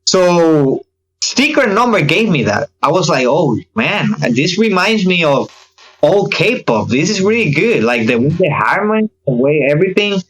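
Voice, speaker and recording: Animated man with a higher-pitched voice. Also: measured pace, breathy edge, poor audio. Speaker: podcast guest, K-pop reaction video creator. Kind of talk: casual podcast chat.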